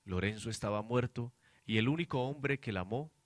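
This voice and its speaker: A man's voice: mid-range voice, normal speed, no room sound, clean studio sound. A young man reading dramatic scripted narration for a radio drama, in a noisy amateur recording. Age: young adult. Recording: noisy amateur student production.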